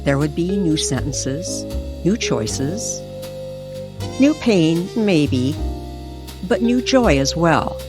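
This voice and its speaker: Slow, expressive woman narrating over music. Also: deep voice. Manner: deliberate, pausing between short phrases. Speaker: story narrator. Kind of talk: scripted dramatic narration of an eerie tender tale. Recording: music under the voice.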